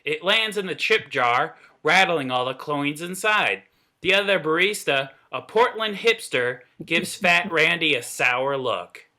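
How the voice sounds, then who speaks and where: A man's voice: on the high side, animated, unhurried, bright, forceful tone. A man reading scripted narration aloud in an informal read-through, with the odd slip and stumble. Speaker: table reader of script narration and dialogue.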